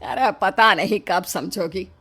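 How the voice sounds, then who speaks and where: A woman's voice: mid-range voice, slow, a bit bright, breathy edge. A woman voicing a caring, fretful middle-aged mother-in-law with a motherly, exasperated manner in a story. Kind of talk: animated story dialogue.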